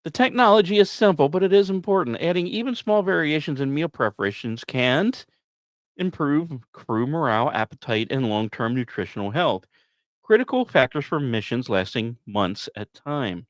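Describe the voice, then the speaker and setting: A man's voice: a little high, lively intonation, moderate pace, no room sound. A man hosting a podcast, narrating science news from notes with casual, wry asides. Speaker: podcast host.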